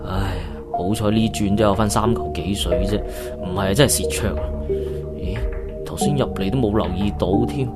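A man acts out a crude, hot-tempered driver in a radio play, with a lowish voice and a Hong Kong Cantonese accent. He grumbles and curses to himself in thick slang. Loud music plays behind him. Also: normal speed, close to an everyday voice. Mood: grumbling. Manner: slangy, cursing, muttering to himself. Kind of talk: radio drama acting.

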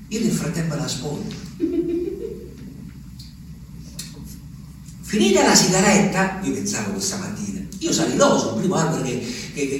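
Male actor as lonely old country guy from abruzzo, rambling stage monologue, pitch swings a lot, big echoey room. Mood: brooding. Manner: rambling, repetitive, swings from hushed to exclaiming. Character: ageing, lonely, rambling Abruzzese peasant man. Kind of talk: theatrical dramatic monologue. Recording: echoey, distant stage recording, faint noise.

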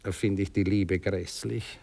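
An actor playing a polite, affected count in a radio play, halting, courteous and evasive, with an Austrian accent. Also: male voice, sits low, fairly even intonation, vocal fry, dark tone, full character voice. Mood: nervous, evasive. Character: hesitant, polite, affected male aristocrat, a count. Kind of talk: intimate radio play acting.

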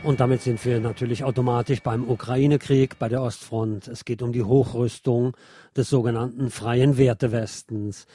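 A man's voice: medium pitch, steady tone, normal speed, over loud music. A man presenting a radio magazine, reading scripted news commentary aloud.

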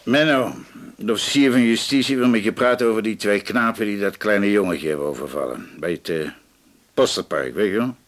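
A breezy policeman played by a male actor in a radio drama, with a low, deep voice. He talks in a casual, bantering manner to colleagues. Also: a little fast, lightly acted.

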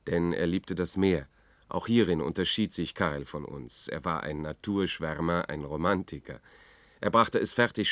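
Casting: male actor for brooding, uneasy man in a science fiction radio play, deep, measured, dry studio sound. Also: no echo, close to an everyday voice. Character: anxious, brooding man recounting his search for a friend. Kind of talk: German radio drama acting with narration.

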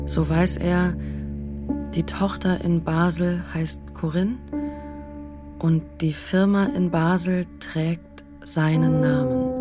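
A woman playing a pensive narrator in a literary radio play, telling the story in a deliberate, measured way. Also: sits low. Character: pensive female narrator observing a forgetful man. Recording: music under the voice. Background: music.

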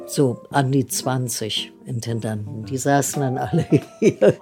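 An older woman interviewee recalling the past conversationally, a little halting. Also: rumbling low, music in the background. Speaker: eyewitness interviewee recalling events. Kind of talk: conversational reminiscence.

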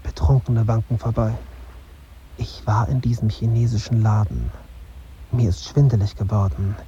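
A man's voice: fairly low pitch, fairly even intonation, normal speed, lightly acted. An actor playing a dazed, gloomy young journalist, narrating his thoughts tersely and broodingly in a radio drama. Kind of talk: radio drama interior monologue. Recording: dull sound, faint ambient noise.

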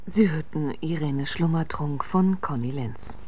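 A woman's voice: sits low, unhurried, warm tone. A woman reading a formal scripted broadcast announcement as a credits announcer.

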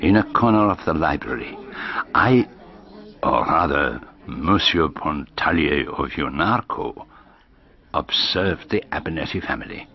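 An actor playing a shrewd, observant detective, narrating his suspicions in a measured, reflective way in a radio drama. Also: rumbling low, animated, heavily acted. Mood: musing. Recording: slightly dull broadcast radio recording.

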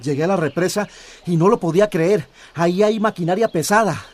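A man's voice: sits high, fairly quick. An actor playing an earnest male community activist in a radio drama. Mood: excited, reassuring.

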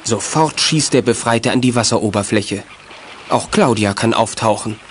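A man's voice: fairly low pitch, moderate pace, a little hiss or hum, lightly acted. A man narrating a children's detective radio play in scripted, suspenseful style. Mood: suspenseful, descriptive.

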